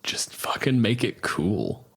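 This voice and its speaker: Low-ish voiced guy joking around about dragons, wry. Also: sing-song, slow, gravelly, husky. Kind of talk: casual chat about tabletop games.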